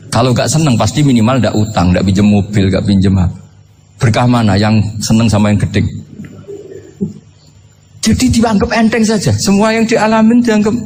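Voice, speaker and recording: Low-voiced Javanese-accented male preacher, noisy recording. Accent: Javanese Indonesian. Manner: conversational, puts rhetorical questions to listeners; mixes Arabic quotations and regional words into speech. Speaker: Islamic preacher. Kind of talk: informal religious lecture to an audience.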